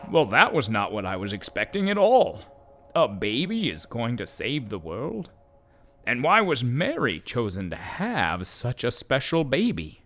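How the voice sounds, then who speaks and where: A man's voice: mid-range voice, wildly swooping pitch, measured pace, fairly bright tone, exaggerated voice. A man playing an observant, easily startled donkey who tells his story in a wry, bemused way for a children's audio drama.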